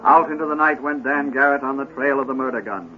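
A man's voice: mid-range voice, fast, over loud music, natural voice. A man performing as the dramatic narrator of a 1940s radio serial, solemn and declamatory. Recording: tinny, noisy vintage radio recording.

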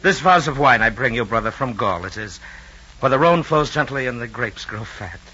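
Decadent young emperor, theatrical, sharp bright tone, dull vintage radio recording. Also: male voice, medium pitch, lively intonation, normal speed, husky. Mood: grandly false. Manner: theatrical, florid declamation. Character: decadent, moody young Roman emperor, theatrical and self-indulgent. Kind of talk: old-time radio drama acting. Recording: dull old radio recording, music behind.

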